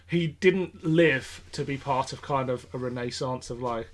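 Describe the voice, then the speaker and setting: Male podcast host, mid-pitched voice, conversational and a bit rambling, fan-to-fan delivery with a jokey edge. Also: measured pace. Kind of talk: casual chat about a TV show and its fandom.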